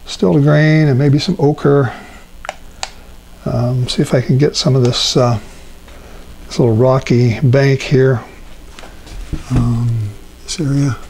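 Gravelly older man teaching painting. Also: mid-range voice, takes it slowly, slight background noise. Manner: relaxed, thinking aloud with many ums. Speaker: painting instructor. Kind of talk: live painting demonstration. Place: art studio at a painting table.

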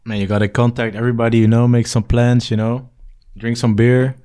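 A man's voice: mid-range voice, flat delivery, brisk, warm tone, clean tone. Young man, podcast guest, easygoing, slangy, storytelling. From Netherlands. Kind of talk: casual chat.

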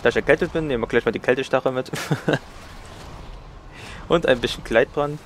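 A man's voice: medium pitch, normal speed, a little hiss or hum. A man with a cold giving casual, chatty commentary while playing a game. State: has a cold. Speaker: let's play gamer.

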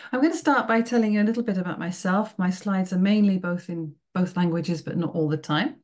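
A woman's voice: lowish voice, fairly quick, a little mellow. A middle-aged woman from the United Kingdom with a British accent, giving an online workshop to teachers in a friendly, encouraging way. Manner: friendly, encouraging, checks in with "okay?" often. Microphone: video call.

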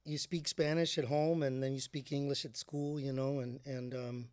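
A middle-aged man from the South Texas border, a musician guest speaking softly and haltingly with many fillers in a casual interview. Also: mid-range voice, little pitch movement, no room sound.

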